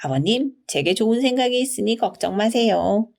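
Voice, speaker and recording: Woman plays quick-witted folktale son of a servant, mellow, courteous, composed, with sly cheek. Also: on the high side, expressive, gravelly. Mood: reassuring. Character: clever, cool-headed servant's son, polite and cheeky. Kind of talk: storybook character reading.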